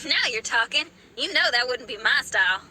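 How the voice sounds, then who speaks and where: A woman's voice: a little high, animated, normal speed, sharp tone, a little hiss or hum. A woman voicing a spirited, easygoing young woman in a video game, casual and breezy in manner. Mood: breezy, amused.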